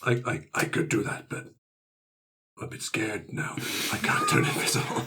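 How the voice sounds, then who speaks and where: A man's voice: medium pitch, wildly swooping pitch, normal speed, background noise. A man improvising playfully as a tabletop game player, giggly and a little hesitant. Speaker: player in a tabletop roleplaying show.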